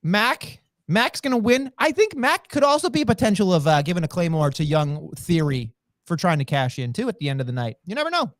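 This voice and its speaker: A man's voice: high-pitched, big pitch swings, brisk, dry recording, clean studio sound. A man co-hosting a podcast, teasing his partner and bantering about wrestling with plenty of swearing. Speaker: podcast co-host. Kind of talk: casual wrestling chat.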